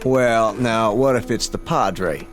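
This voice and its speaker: Weary, low-voiced western deputy. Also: male voice, slow, faint music behind. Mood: cautioning. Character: dutiful, weary small-town western deputy. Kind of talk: western radio drama acting.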